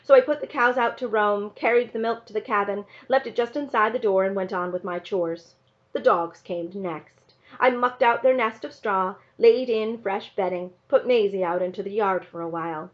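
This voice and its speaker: A woman reading a children's novel aloud in an expressive narrating style. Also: medium pitch, moderate pace. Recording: slightly dull, lo-fi sound.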